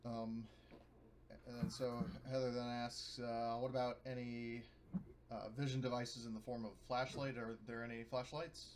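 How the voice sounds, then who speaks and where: A man's voice: mid-range voice, flat delivery, moderate pace, crowd noise. A man talking casually as a player in a tabletop game, hesitant and thinking aloud.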